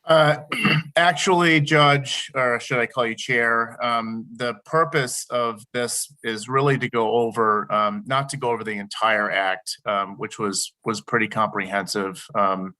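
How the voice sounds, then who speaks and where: A middle-aged man presenting a report at a board meeting over a video call, slightly raspy from a summer cold, hesitant with many ums. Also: mid-range voice, steady tone, a little slow, bright, forceful tone. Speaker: government affairs director.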